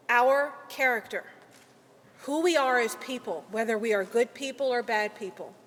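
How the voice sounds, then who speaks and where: A woman attorney delivers a prepared speech at a ceremony, earnest and deliberate, with measured pauses. Her voice sits fairly high. It rings out in a large hall with a long reverb tail. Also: a bit bright. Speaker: legal aid attorney.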